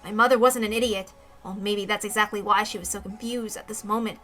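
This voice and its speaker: A woman's voice: medium pitch, steady tone, a little fast, fairly bright tone, soft background music. A woman reading a first-person story aloud as narration. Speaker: reader of a visual novel story.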